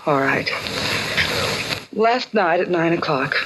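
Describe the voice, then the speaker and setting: A woman's voice: fairly low pitch, lively intonation, takes it slowly. A woman playing an earnest, distressed young working woman under suspicion in a 1940s radio drama, pleading and resigned. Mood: resigned, recounting. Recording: muffled, faintly noisy 1940s radio recording.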